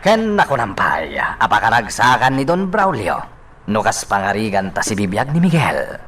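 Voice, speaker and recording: A Filipino man playing a suspicious, brooding congressman in a radio drama, speaking deliberately and dramatically. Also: on the low side, sing-song, a bit bright, slight background noise.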